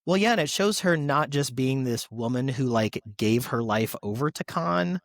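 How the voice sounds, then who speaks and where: A man talks as a panelist on a podcast in an easy, conversational way. He has a mid-pitched voice and sounds keen on his subject. The recording is dry, with no room echo. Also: expressive, moderate pace. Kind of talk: casual chat.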